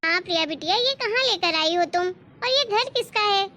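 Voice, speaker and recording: Very high-pitched emphatic woman voicing a cartoon family girl. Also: expressive, fairly quick, pushed and bright, full character voice. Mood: insistent. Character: excited, devoted young daughter and her protective mother. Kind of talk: Hindi cartoon moral story dialogue.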